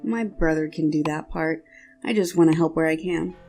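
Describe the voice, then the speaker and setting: A shy young prince played by a woman speaks in a boyish register, low for a female voice. He is earnest and eager to help, in audio drama dialogue with soft music underneath. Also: normal speed.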